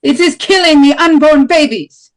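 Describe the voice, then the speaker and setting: A woman's voice: high voice, normal speed, lightly acted. Blunt hardline woman candidate read by a woman. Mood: defiant. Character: blunt hardline far-right woman presidential candidate in a debate. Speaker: presidential candidate in a play table read. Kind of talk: amateur table read of a stage play.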